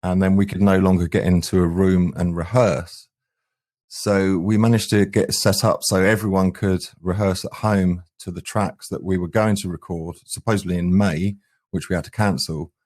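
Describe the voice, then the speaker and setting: A man's voice: deep voice, steady tone, normal speed, a little mellow. A man answering interview questions conversationally as a band's singer and guitarist. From England. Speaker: band frontman, singer and guitarist.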